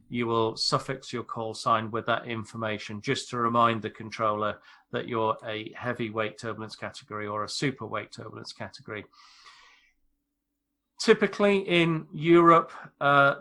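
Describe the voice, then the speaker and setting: A British man, an airline pilot, explaining things in a measured, informal way on a podcast. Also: mid-range voice, bright, forceful tone. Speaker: airline pilot, expert guest.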